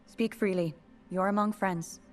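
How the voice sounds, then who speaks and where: A woman playing a composed, decisive resistance commander in a game, measured and authoritative. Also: mid-range voice, steady tone, soft background music, natural voice. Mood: calm. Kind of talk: video game cutscene acting.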